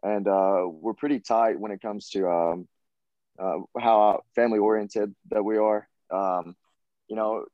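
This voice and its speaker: A young man in his twenties with a Southern US accent, answering interview questions in an easygoing, halting way with many fillers. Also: on the low side, fairly even intonation, no room sound, muffled recording. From Tennessee, USA. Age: 20s. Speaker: college baseball player guest.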